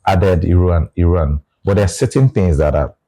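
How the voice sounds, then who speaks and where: A Nigerian man talking through his views in a relaxed conversation, often restarting his phrases. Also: low voice, normal speed. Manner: reflective, restarts phrases, explains with examples. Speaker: guest. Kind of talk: casual conversation.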